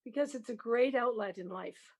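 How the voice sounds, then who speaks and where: A woman's voice: a little high, moderate pace. An older woman, talking in an encouraging, plain-spoken way as an interview guest about her work. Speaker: music teacher, interview guest. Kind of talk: interview conversation.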